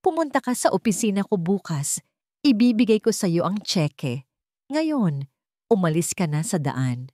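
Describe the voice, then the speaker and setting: A woman's voice: mid-range voice, very animated, measured pace, mellow, no room sound, clean studio sound. Woman playing a curt, cold, wealthy businessman. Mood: cold, impatient. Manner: clipped, cold, commanding. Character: curt, wealthy young male CEO, businesslike and impatient. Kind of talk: Tagalog radio drama storytelling.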